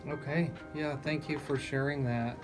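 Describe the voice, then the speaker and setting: A man's voice: on the high side, fairly even intonation, normal speed, music in the background. A man hosting a radio interview in a conversational style, putting questions to his guests. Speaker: radio host. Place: small radio studio. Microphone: studio microphone with headset.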